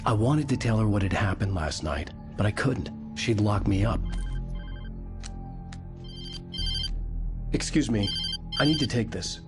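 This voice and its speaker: An actor playing a troubled, brooding writer in a video game cutscene, speaking in a terse, guarded way. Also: male voice, on the low side, animated, moderate pace, lightly acted. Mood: guarded, uneasy. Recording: music behind the voice.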